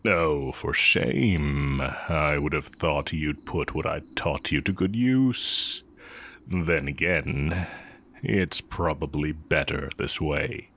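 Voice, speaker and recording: A man playing an exiled heretic dark-magic teacher in an intimate audio roleplay, wry and condescending yet fond of the listener. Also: rumbling low, slow pace, exaggerated voice. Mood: mocking, fond.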